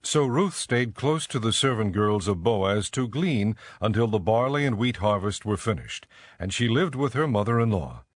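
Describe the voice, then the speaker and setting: A man narrating scripture from a script in a measured, solemn manner. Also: lowish voice, animated, dry recording.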